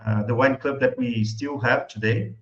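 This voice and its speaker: A man's voice: medium pitch, fairly even intonation. A man from Brazil with a Brazilian Portuguese accent, a native Portuguese speaker, talking casually as an interview guest and searching for words in English. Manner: halting, searching for words, often chuckling. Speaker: interview guest, wine YouTube creator. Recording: muffled, echoey room sound.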